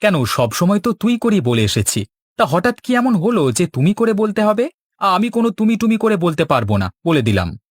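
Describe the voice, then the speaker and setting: A man plays a cheeky young village husband in a cartoon. His pitch is very lively and the sound is dry. He argues and teases like a born squabbler. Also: moderate pace, dry recording. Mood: defiant, teasing. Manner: teasing, argumentative. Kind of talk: cartoon dialogue.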